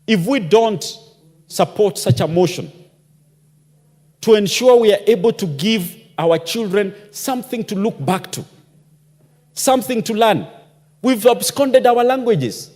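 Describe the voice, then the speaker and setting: A man's voice: sits high, expressive, measured pace, long pauses, darkish tone. A man giving an impassioned parliamentary speech, firing off rhetorical questions as a member of parliament. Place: parliamentary chamber. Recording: long reverb of a large chamber.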